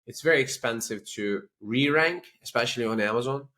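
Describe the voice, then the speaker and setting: A man with a Turkish accent talks as the guest of a business interview, speaking at an ordinary middle pitch. He explains things in an animated, talkative way and keeps leaning on "you know" and "like" as he goes. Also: fairly quick. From Turkey. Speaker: company CEO, podcast guest.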